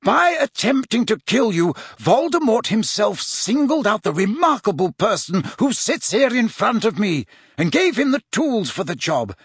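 A man's voice: sits high, sing-song, measured pace, slightly rough, dry recording, studio quality, voice pushed up, full character voice. A man voicing an old, wise headmaster wizard in an audiobook, speaking formally and emphatically as an earnest, urgent mentor. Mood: impatient, agitated.

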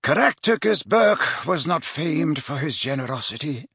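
A grave yet kindly elderly male wizard, performed by a man reading a storybook. He speaks in measured, formal sentences with a lifted, highly varied pitch and a slightly breathy roughness. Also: big pitch swings, a bit bright, no room sound, studio quality, heavily acted. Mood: assured, explanatory. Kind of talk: audiobook character voice.

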